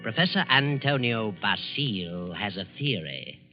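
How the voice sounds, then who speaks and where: A man hosts and narrates an old radio suspense show, his delivery measured and ominous. The sound is dull and lo-fi, and loud music plays beneath his voice. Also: lowish voice, expressive. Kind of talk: scripted narration, 1940s radio.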